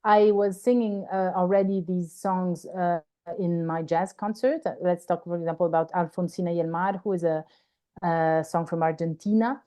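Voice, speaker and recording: An Italian-accented woman with a fairly high but soft, mellow tone talks through her ideas in a relaxed interview. She often pauses to hunt for the right English word, restarts phrases, and checks in with a questioning "no?" at the end of her sentences. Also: smooth. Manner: searching for words, tags sentences with "no?". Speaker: singer and guest. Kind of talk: conversational interview answers.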